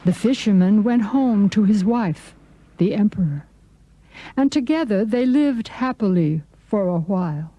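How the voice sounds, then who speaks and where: A woman's voice: medium pitch, lively intonation, unhurried, dark tone, dry recording, rough recording. A woman narrating a folk tale as scripted storybook narration for English learners.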